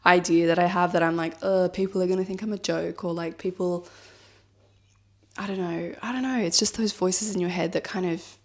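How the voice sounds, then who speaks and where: Woman, 30s, lowish voice, husky with a cold, reflective vlog chat, rambling, lots of fillers. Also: fairly even intonation, moderate pace. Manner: thoughtful, rambling, many "like" and "I guess" fillers. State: has a cold, slightly husky. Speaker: vlogger. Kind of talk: reflective personal vlog talk.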